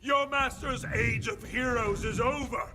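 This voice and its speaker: An actor voicing a menacing, gloating undead tyrant in a fantasy video game, with theatrical delivery. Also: male voice, extremely high pitch, measured pace. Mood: gloating menace. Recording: loud music behind.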